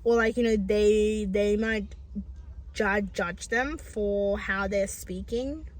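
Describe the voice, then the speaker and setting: A woman's voice: medium pitch, steady tone, slow. A woman speaking in a personal vlog, stuttering with repeated sounds and restarts, earnest and reflective with many fillers. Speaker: vlogger.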